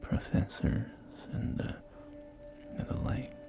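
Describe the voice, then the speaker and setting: A man whispering an ASMR roleplay as a polite, helpful real estate agent, in gentle, unhurried sales patter, with music behind him. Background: loud music and game audio.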